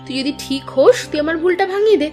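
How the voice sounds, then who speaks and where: A woman's voice: very high-pitched, lively intonation, fast talker, a little mellow, faint music behind. A woman playing an earnest, anxious young village sister in a cartoon drama, speaking in an emotional, pleading way. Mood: hurt, indignant.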